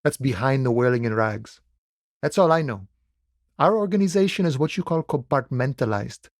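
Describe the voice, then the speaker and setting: A man acting as a laid-back union dockworker from a video game, with a mid-pitched voice in a very dry recording. He talks in an unhurried, familiar way, wry and philosophical, friendly without ever pushing. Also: animated, dry recording, close to an everyday voice. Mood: friendly, reflective. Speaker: video game character voice actor.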